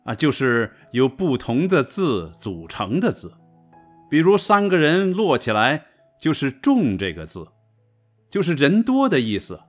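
A man's voice: fairly high pitch, wildly swooping pitch, a little slow, soft background music, exaggerated voice. A man playing an insistent, instructive father of a young girl in a radio drama.

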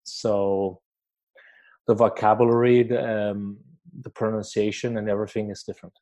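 A man's voice: fairly low pitch, flat delivery, moderate pace, dark tone, rough recording. A man who is not an English speaker, hosting a podcast interview in casual chat, with many fillers, restarts and frequent laughter. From Switzerland. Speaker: podcast host.

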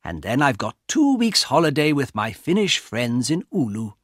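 A man doing a quiet, mild man of fifty. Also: medium pitch, very animated, husky, no room sound, studio quality. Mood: musing. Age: 50s. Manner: slow, mild, inward. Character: quiet, mild middle-aged man thinking.

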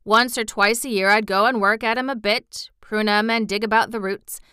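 A woman doing a gruff old gardener. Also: medium pitch, fairly even intonation, moderate pace, bright, forceful tone, no echo, clean studio sound, close to an everyday voice. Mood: grudging. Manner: gruff, grumbling, blunt. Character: grumpy old male Yorkshire gardener.

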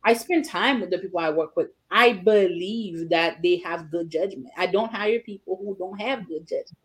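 A woman's voice: on the low side, moderate pace. A woman attorney and business owner talking as an interview guest, assured and emphatic, in short declarative phrases. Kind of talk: casual interview conversation.

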